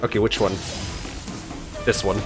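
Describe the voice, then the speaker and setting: A man's voice: fairly low pitch, steady tone, a little slow. A man playing a video game and reacting to it in casual commentary, with game music behind him. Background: game music and battle sounds.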